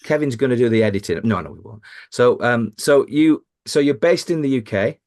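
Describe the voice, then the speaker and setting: A man's voice: mid-range voice, lively intonation, fairly quick, darkish tone. A man co-hosting a podcast, who asks questions in a joking, rambling way full of restarts. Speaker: podcast co-host. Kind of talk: casual interview chat.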